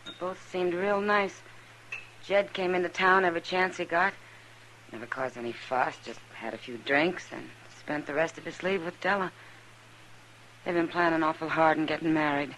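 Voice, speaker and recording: A sympathetic, worldly woman of the American West in old radio drama. Her voice is clear-edged and forward, talking casually, with faint noise on the vintage recording. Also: sits low, fairly even intonation, moderate pace, a bit bright, rough recording. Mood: concerned. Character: worldly, sympathetic frontier woman, familiar with the marshal. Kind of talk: 1950s Western radio drama acting.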